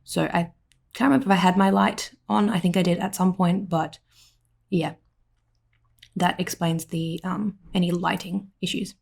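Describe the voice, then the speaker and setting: A woman with an Australian accent, slightly hoarse, giving a rambling voiceover at home full of "like" and "um". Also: fairly low pitch, steady tone, normal speed, a little mellow. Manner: rambling, with many "like" and "um" fillers. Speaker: planner vlogger. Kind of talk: casual voiceover narration.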